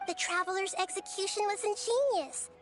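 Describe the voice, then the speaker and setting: An actress voicing a tiny, childlike female sidekick in a video game, squeaky, cheerful and excitable. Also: moderate pace, over music. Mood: cheerful, excited. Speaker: game character voice actor. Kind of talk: animated game dialogue.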